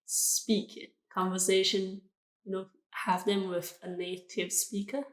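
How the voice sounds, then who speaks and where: A woman's voice: medium pitch, flat delivery, unhurried, roomy sound, rough recording. A woman in her twenties from Thailand, a native Thai speaker, giving short, plain answers in simple English as an interview guest. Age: 20s. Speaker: guest, university student.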